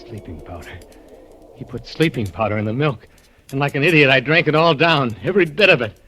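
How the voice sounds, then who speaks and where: A man's voice: mid-range voice, lively intonation, fairly quick, bright, forceful tone. An actor narrating as a drugged, panicky male factory worker in a 1940s radio drama. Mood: drowsy dread. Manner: breathless, panicky first-person narration. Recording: old radio broadcast with music.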